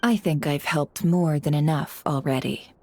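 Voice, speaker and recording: A woman's voice: sits low, a little slow, darkish tone, slight background noise. A woman playing a shrewd, coolly self-assured information broker in a video game, teasing and knowing, fond of pointed rhetorical questions. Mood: smug. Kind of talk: video game character acting.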